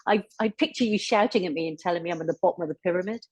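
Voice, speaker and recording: A woman's voice: on the low side, very fast, breathy edge, dry recording. A woman from Cornwall with an English accent, a netball coach, introducing herself casually and self-deprecatingly on a video call. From England, Cornwall.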